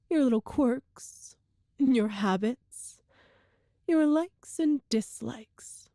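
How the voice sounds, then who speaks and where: A woman playing a cruel, smug, seductive empress in a scripted ASMR roleplay, speaking formally and measuredly with lingering pauses. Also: fairly high pitch, dark tone, no echo, studio quality. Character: cruel all-powerful evil empress, coolly seductive and smug.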